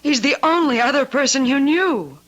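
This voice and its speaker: Radio mystery client: guilt-ridden daughter, sharp-edged tone, earnest and confiding. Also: female voice, high voice, lively intonation, moderate pace, dull audio. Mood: explaining. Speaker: actress playing a client. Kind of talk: old-time radio drama acting.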